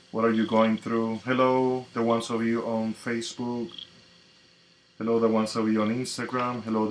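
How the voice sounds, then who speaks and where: A man from the Dominican Republic with a Dominican Spanish accent, a native Spanish speaker, hosting a live tarot reading in a slow, reflective, casual way. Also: on the low side. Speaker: tarot reader. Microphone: computer mic on a live stream. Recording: echoey room with faint noise.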